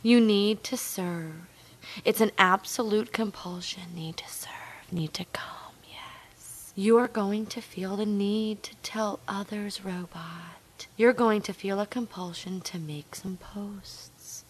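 A woman speaking in an intimate, commanding hypnosis style, coaxing and repetitive, with a breathy voice that often drops to a whisper. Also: medium pitch, steady tone. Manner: slow, drawn-out, dominant and coaxing. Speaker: erotic hypnosis performer.

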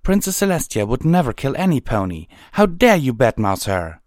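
A man's voice: medium pitch, sing-song, moderate pace, darkish tone, no room sound, clean studio sound, pitched above the performer's own voice, close to an everyday voice. A man voicing a young female storybook pony, proud and earnest, with a flustered, emphatic way of talking. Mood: indignant.

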